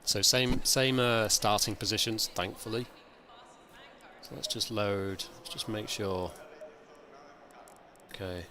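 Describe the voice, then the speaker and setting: A man streaming a sim race, with a croaky, hungover voice, rambling and thinking aloud in casual chat. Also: lowish voice, steady tone, slow, pauses often, pushed and bright, slight background noise. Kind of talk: casual live-stream commentary.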